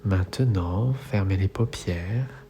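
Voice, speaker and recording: A man leading a guided relaxation meditation as an instructor, speaking in soothing, measured phrases. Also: deep voice. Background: soft music.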